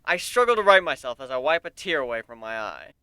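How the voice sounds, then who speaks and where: A man giving an animated first-person dramatic reading of a fan fiction story. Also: mid-range voice, fast, clean tone, dry recording. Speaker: amateur story reader.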